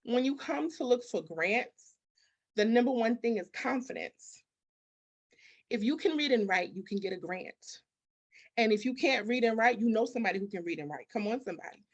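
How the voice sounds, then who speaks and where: A woman's voice: fairly high pitch, animated, moderate pace, breathy edge, muffled recording. A woman giving motivational, conversational interview answers as a business coach, emphatic, with repetition and rhetorical questions. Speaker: business architect and mindset coach, interview guest.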